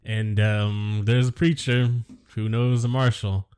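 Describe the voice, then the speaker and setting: A man's voice: lowish voice, little pitch movement, slow, darkish tone, clear, resonant tone. A man co-hosting a casual podcast, sarcastic and dismissive, swearing offhandedly as he reviews a film. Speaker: podcast co-host.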